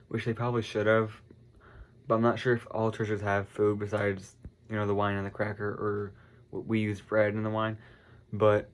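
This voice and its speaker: A congested teenage boy chatting casually to camera, rambling with lots of fillers and self-corrections. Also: lowish voice. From United States. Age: teens. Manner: meandering, heavy use of "like" and "um", frequent self-corrections. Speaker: vlogger.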